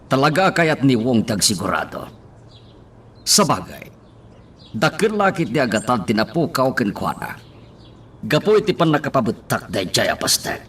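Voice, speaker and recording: A man's voice: mid-range voice, sing-song, moderate pace, long pauses, a bit bright. An actor playing a commanding, wary male boss in an Ilocano radio drama. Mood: wary, calculating. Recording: faint background noise.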